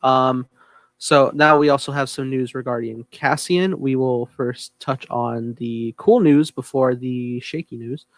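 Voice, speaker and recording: A man's voice: mid-range voice, steady tone, unhurried, no room sound. A man hosting a podcast, leading the topics in a casual, conversational way.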